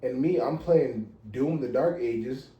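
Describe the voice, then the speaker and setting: Very mellow, dark-voiced American man, echoey room. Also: medium pitch, slow, highs cut off. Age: 30s. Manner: blunt, slangy, profane. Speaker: podcast co-host. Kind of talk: casual chat.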